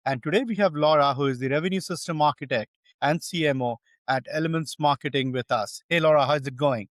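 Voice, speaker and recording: A man's voice: fairly high pitch, brisk, dry recording, studio quality. A man hosting a podcast interview in a conversational way, introducing and questioning a guest. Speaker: podcast host.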